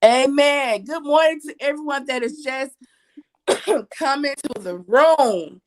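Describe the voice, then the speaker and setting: Woman host, raspy and high-pitched, chatty and repetitive with her audience. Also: animated, slow pace. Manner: chatty, repeats herself, reads viewer comments aloud. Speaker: livestream host. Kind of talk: casual livestream talk.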